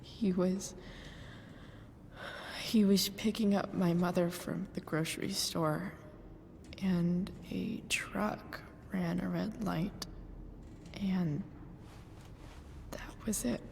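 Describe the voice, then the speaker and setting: A woman playing a rebellious, grieving teenage girl in a game, speaking haltingly and earnestly, often hushed. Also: on the low side, fairly even intonation, vocal fry, in a large hall, a little hiss or hum. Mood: sad, hushed. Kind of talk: naturalistic dramatic game acting.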